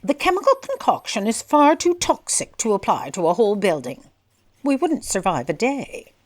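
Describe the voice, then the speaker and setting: A woman's voice: a little high, huge pitch swings, moderate pace. An actress playing a lofty, commanding and blithely oblivious female editor-in-chief in a comedic audio drama. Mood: authoritative.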